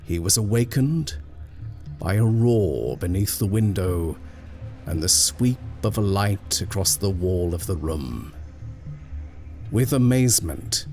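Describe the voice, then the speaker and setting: A man with a very low voice narrates a horror tale at an unhurried, deliberate pace. He keeps the reading measured and drops to a hush at the tense moments, with faint music playing beneath him. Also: animated. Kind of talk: scripted horror story reading.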